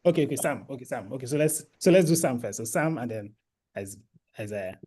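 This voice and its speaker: A man's voice: medium pitch, expressive, brisk, mellow, breathy edge. A man moderating a video call discussion who often repeats words as he hands over to speakers. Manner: hesitant, repeats words as he goes. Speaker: moderator of the focus group.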